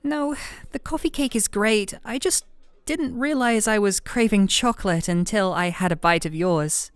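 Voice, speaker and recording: A woman's voice: mid-range voice, normal speed, smooth, dry recording, close to an everyday voice. A woman playing a playful, affectionate young best friend in an ASMR roleplay, chatting casually and teasing her listener. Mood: warm, enthusiastic. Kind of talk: ASMR roleplay audio, conversational acting. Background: soft background music.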